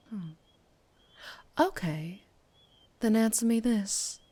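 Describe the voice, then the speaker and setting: A woman's voice: medium pitch, warm tone. A woman voicing a mysterious, teasing and menacing female vampire biker in an ASMR roleplay.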